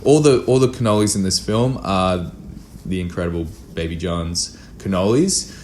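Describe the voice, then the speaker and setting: A man with a very low voice talks casually as an interview guest. He is earnest and emphatic, circling back to repeat key phrases. There is some noise and room ambience behind him. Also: moderate pace, a little mellow. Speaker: podcast guest, filmmaker.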